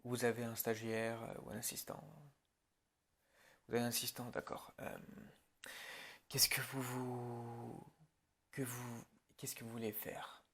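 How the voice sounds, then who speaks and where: A man's voice: mid-range voice, monotone, measured pace, long pauses, a bit bright, husky. A man speaking informally and hesitantly in an improvised ASMR roleplay, as a film director addressing his crew.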